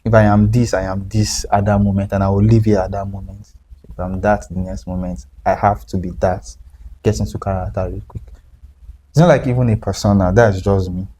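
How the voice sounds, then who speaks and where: A young man, talking about his writing in loose, casual conversation. His voice is fairly low and mellow, and he explains himself with repeats and fillers. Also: little pitch movement, fairly quick. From Nigeria. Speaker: writer guest.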